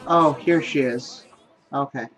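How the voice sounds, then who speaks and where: A man's voice: medium pitch, slow, fairly bright tone, slight background noise, rough recording. A man giving casual, rambling commentary as he plays through a video game. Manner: rambling, offhand. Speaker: gaming video host. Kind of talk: casual let's play commentary.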